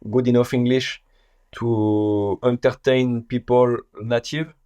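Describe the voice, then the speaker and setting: A man with a French accent, a native French speaker, talking as a podcast guest in a casual interview, halting and searching for his words in English. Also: mid-range voice, level tone, warm tone, clean tone, dry recording. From France.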